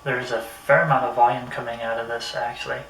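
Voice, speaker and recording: A man giving a casual hands-on product review, thinking aloud in a somewhat halting way. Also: medium pitch, little pitch movement, fairly bright tone. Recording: roomy echo, distant mic, faint noise.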